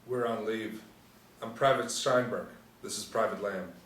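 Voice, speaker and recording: A man's voice: on the low side, a little slow, a bit bright. A man at a script table read playing a probing, know-it-all young soldier in his twenties. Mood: smug. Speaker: actor at a script table reading. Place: indoor room, seated reading panel. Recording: roomy, distant mic, faint noise.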